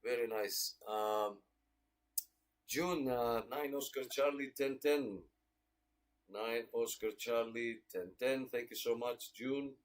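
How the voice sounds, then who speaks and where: A man's voice: mid-range voice, takes it slowly. Male livestream host for a radio club check-in, mid-range voice, casual and friendly. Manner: friendly, thanking and greeting listeners. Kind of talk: casual livestream roll call of check-ins.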